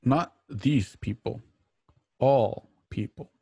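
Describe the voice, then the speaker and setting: A man playing a grave, fatalistic rebel in a radio play, terse, deliberate and solemn. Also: medium pitch, vocal fry, warm tone, husky, no room sound, clean studio sound, exaggerated voice. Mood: grave, resolute. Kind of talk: remote radio play reading.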